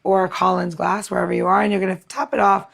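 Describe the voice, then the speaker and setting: A woman's voice: medium pitch, flat delivery, fast, creaky, a bit bright. A woman co-hosting a podcast, talking through drinks in a casual how-to chat. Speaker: podcast co-host and cocktail mixer.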